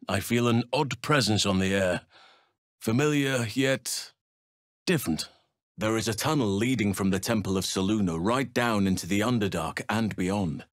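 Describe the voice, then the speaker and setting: An actor playing a wise, gentle elf druid in a video game, with a measured, formal, thoughtful delivery. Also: male voice, fairly low pitch, dry recording, studio quality, natural voice. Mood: measured, reflective. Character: wise, gentle male elf druid, nature-loving and solemn. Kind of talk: dramatic game dialogue.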